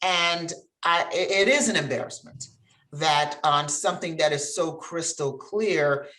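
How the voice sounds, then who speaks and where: A woman's voice: low-pitched, unhurried, sharp tone, rough recording. A woman from Switzerland speaking as a panelist in an earnest, emphatic, conversational way. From grew up in Geneva, Switzerland.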